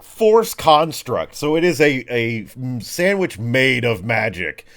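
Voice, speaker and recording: A man's voice: mid-range voice, sing-song, slow pace, a crowd in the background. A man narrating a tabletop game as game master in casual, slangy language with vivid action descriptions.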